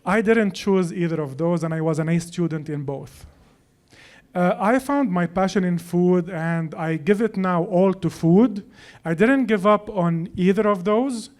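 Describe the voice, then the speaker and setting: A man's voice: high-pitched, a little slow, darkish tone. A young man from Lebanon, French his first language, telling his story as a guest chef in an interview. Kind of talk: casual interview chat.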